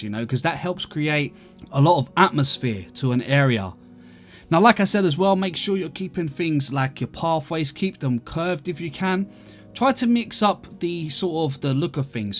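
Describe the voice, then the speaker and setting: A man from London with an English accent gives chatty, explanatory casual commentary as the host of a gaming video, answering viewer questions. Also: a little high. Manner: chatty, explanatory, addresses listeners as guys.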